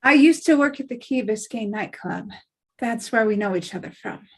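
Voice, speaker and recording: Woman acting an earnest young woman, husky and higher-pitched. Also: expressive, moderate pace. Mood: shy, reflective. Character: earnest, conflicted young woman facing unplanned pregnancy. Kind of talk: dramatic script table read.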